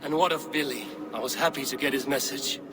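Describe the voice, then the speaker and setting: An actor playing a calm, earnest Norse clan leader. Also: male voice, mid-range voice, brisk, natural voice. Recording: game audio with ambient noise.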